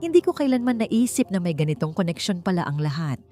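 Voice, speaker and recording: A woman narrator plays a dignified, wounded young Filipino man in a dramatic story. The voice is low and dark-toned, speaking with solemn, deliberate earnestness over faint music. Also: very animated. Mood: earnest, reflective. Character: dignified young male architect, wounded and devoted grandson.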